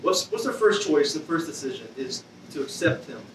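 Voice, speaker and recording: A middle-aged man, a loud in-your-face youth pastor, introducing a guest speaker to the kids. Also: a little high, expressive, moderate pace, background noise. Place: dim church youth room with an audience. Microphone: far camera. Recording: roomy hall sound from a distance.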